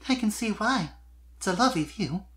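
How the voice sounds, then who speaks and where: A narrator voicing a sweet, shy young mare pony who speaks softly and a little hesitantly in a fan fiction reading. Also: medium pitch, animated, a little fast, voice pushed up, close to an everyday voice. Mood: wistful. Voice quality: soft voice.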